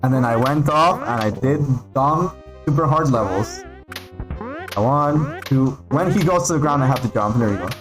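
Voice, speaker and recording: A man from Peru whose first language is Spanish, chatting casually and excitably while streaming a game. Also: mid-range voice. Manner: rambling, excitable, reads out names with pauses. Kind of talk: casual livestream chat while gaming. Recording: faint background music.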